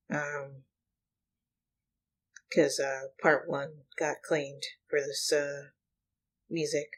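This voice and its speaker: A woman giving casual, unscripted reaction commentary in a halting, hesitant way that trails off. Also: deep voice. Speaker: reaction video host.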